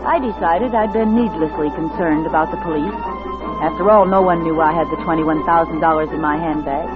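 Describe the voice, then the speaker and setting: A woman's voice: deep voice, brisk, close to an everyday voice. A woman acting a tough, wary secretary on the run in an old radio thriller, clipped and determined. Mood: tense recounting. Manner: hard-boiled, clipped, determined. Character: tough, wary young secretary turned gun-toting fugitive, narrating. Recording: muffled vintage radio recording with music.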